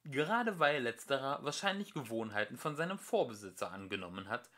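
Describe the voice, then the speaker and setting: Male amateur audiobook narrator, mid-pitched, animated intonation, expressive scripted read. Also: fairly quick.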